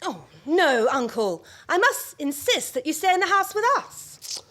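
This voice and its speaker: A woman playing a highly strung, easily wounded young wife in a comic radio play, earnest, plaintive and theatrical. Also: big pitch swings, unhurried, a bit bright, heavily acted. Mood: polite, pleading.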